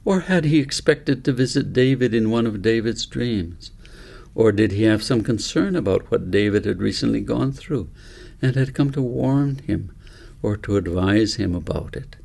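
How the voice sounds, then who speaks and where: A man's voice: mid-range voice, vocal fry, a little mellow. A man narrating a story as an author reading his own book aloud.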